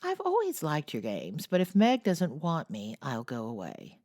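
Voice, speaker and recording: Polite eager teen boy, courteous and playful, in a lively storybook voice, read by a woman. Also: low voice, a little slow, no echo, studio quality, exaggerated voice. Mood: hopeful. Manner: courteous, playful, a little meek. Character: young well-mannered teenage boy, eager and polite.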